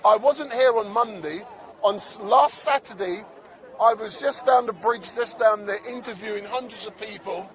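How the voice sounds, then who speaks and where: Barbados-born male street reporter, very high voice, chatty and teasing, loud crowd behind. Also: normal speed. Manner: chatty, teasing, interrupts to keep the floor. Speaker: street interviewer. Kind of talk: street interview livestream. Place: outdoors at a city street protest. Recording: outdoor recording with crowd around. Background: crowd noise.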